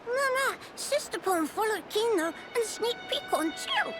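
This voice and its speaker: A woman's voice: extremely high pitch, sing-song, measured pace, loud music behind the voice, exaggerated voice. A woman voicing a small, childlike, eager creature that talks in quaint broken sentences in a video game. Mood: chiding, excited. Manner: cutesy, broken grammar in third person. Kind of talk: video game cutscene acting.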